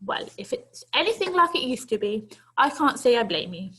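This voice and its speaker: Woman voicing a high-pitched, chatty little old lady. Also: very animated, normal speed, bright, forceful tone, slightly rough, full character voice, rough recording. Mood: brisk, teasing. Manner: chatty, emphatic, questioning. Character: small old lady, brisk, hospitable, gently scolding. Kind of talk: children's storybook read aloud.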